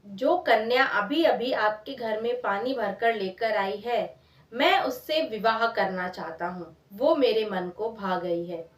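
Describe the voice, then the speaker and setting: Earnest, lovestruck sage for a moral story woman reader, high voice, polite, echoey room. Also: takes it slowly. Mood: smitten, earnest. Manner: polite, declarative. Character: smitten male sage, frank and earnest suitor. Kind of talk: storyteller's quoted dialogue. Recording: echoey room, distant microphone.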